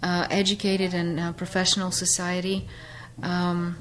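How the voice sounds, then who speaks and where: Thoughtful Bulgarian woman, slightly low voice. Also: completely flat delivery. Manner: thoughtful, many fillers and pauses. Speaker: interview guest, educator. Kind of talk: on-location radio interview. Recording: radio interview.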